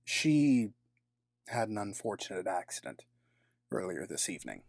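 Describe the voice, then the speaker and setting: An actor playing a cold, scheming middle-aged businessman villain in an audio drama, formal and measured with a sardonic edge. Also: on the low side, sing-song, creaky, a little mellow, dry recording, studio quality, heavily acted. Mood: coolly sinister.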